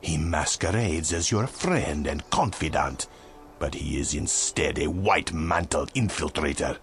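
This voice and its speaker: An actor playing a grave, courtly vizier mage in a video game, with formal, ominous, theatrical delivery. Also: male voice, very deep voice, lively intonation, a little slow, vocal fry, fairly bright tone, exaggerated voice. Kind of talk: video game cutscene acting.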